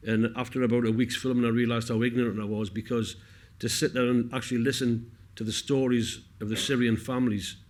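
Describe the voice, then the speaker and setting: Earnest man from North East England. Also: mid-range voice. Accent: North East English. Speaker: film cast member. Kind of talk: heartfelt speech at a public Q&A. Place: on stage at a cinema Q&A.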